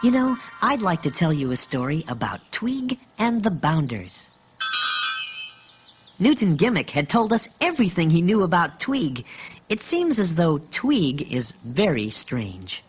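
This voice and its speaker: An actor playing a gentle, earnest toy bear who narrates a children's audio storybook. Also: male voice, fairly high pitch, very animated, a little slow, faint music behind.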